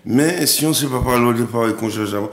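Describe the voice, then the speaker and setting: A man from Martinique, an actor and director, talking as a guest in a TV interview, with halting speech full of repeats and restarts. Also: mid-range voice, creaky, reverberant.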